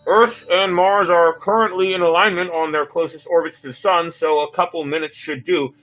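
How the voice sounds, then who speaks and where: A man performs an anxious, dutiful spaceship pilot. The voice is fairly high and formal, like a crew report in a sci-fi tale. Music plays behind. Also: fairly even intonation, normal speed, fairly bright tone, lightly acted, rough recording. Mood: wary. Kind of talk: dramatic reading of sci-fi script.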